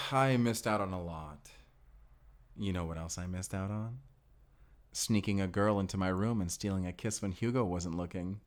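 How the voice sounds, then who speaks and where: A man's voice: low voice, close to an everyday voice. A man playing a young, sheltered and earnest vampire slayer, in a cinematic audio roleplay, speaking in an intimate, conversational way.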